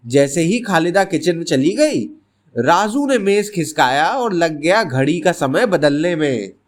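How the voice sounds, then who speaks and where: A man narrating a scripted bedtime story in an expressive, dramatic storytelling manner. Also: sits high.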